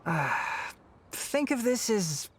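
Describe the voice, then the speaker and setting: A man's voice: high voice, very animated, slow pace, breathy edge, exaggerated voice. A man playing a thoughtful young scholar in a video game, polite and explanatory. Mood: wry, patient. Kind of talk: animated video game voice acting.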